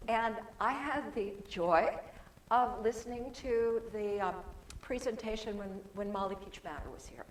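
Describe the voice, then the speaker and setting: A soft-spoken woman giving a chatty, informal talk as a guest speaker at a church service, with plenty of restarts. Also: fairly high pitch, a little slow. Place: church sanctuary. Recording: echoey hall with faint room noise.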